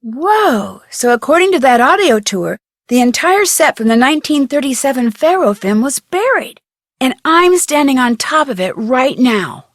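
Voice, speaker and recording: A woman's voice: high voice, very animated, normal speed, dry recording, clean studio sound, exaggerated voice. A woman voicing a curious, plucky young female detective in a video game, musing aloud expressively.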